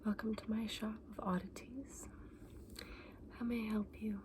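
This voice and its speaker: A woman playing a kindly, eccentric fantasy shopkeeper in an ASMR roleplay, whispering softly and breathily with gentle, polite, quaint phrasing. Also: fairly high pitch, flat delivery, moderate pace, close to an everyday voice. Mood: welcoming, curious. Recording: clearly audible background noise.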